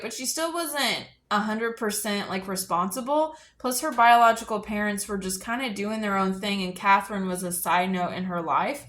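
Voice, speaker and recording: A woman's voice: mid-range voice, a bit bright. A woman talks about books in a casual, chatty way, as the host of her own video. Her delivery is informal and conversational, with little asides along the way. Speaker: book review video host. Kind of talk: casual chatty book discussion.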